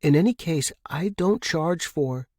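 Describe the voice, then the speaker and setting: A man voicing a gentle, reluctant young woman in an audiobook, soft-spoken and hesitant. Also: a little high, lively intonation, a little slow, no room sound, studio quality, voice pushed up. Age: young adult. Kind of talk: audiobook character reading.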